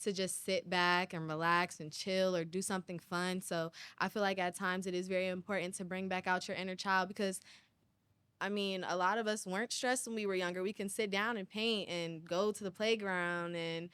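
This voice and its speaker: A young woman on a student panel, explaining things calmly. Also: fairly low pitch, moderate pace, clean tone, dry recording. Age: young adult. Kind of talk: panel discussion.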